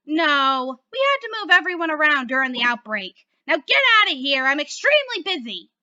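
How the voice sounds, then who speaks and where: A woman's voice: high-pitched, very animated, moderate pace, pushed and bright, lo-fi sound, voice pushed up, heavily acted. A woman voicing a brusque, dismissive female receptionist in a storybook reading. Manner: curt, dismissive. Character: brusque, gossipy female retirement home receptionist.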